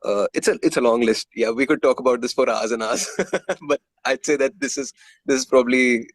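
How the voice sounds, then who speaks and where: A man's voice: medium pitch, fairly even intonation, fairly quick, dry recording. A man with an Indian accent talking casually as a musician being interviewed.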